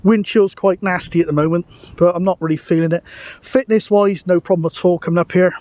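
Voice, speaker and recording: A man's voice: high voice, steady tone, fairly quick, mellow. A middle-aged man, chatting casually and matily as he vlogs outdoors. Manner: chatty, matey. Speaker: vlogger and CB radio hobbyist. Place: outdoors on a windy hill. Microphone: camera microphone.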